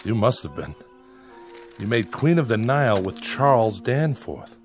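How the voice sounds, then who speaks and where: Radio drama of a courteous reporter who keeps pressing a film star with questions. His pitch moves up and down a lot as he talks. Soft music runs under the scene. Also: sing-song, a little slow. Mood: probing. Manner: polite, probing questions. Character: probing male journalist interviewing a star.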